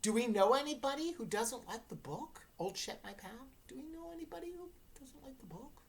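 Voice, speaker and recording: A woman's voice: high voice, animated, fairly quick, gravelly, a little hiss or hum. A woman teacher reading a novel aloud to a class, explaining as she goes and checking understanding with questions. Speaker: English teacher. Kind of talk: dramatic classroom read-aloud with questions to students.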